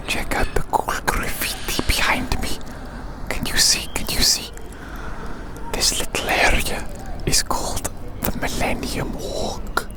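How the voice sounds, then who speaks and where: An ASMR creator whispering soothingly outdoors on a city walk, slowly repeating short phrases. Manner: soothing, slow repetition of phrases.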